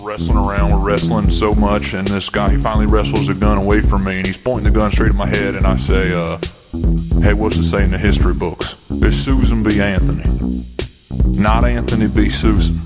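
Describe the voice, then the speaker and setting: A man voicing a rowdy male road comic who rambles through absurd tall tales and swears a lot, with loud music underneath. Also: fairly low pitch, flat delivery, fairly quick, lightly acted. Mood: rambling.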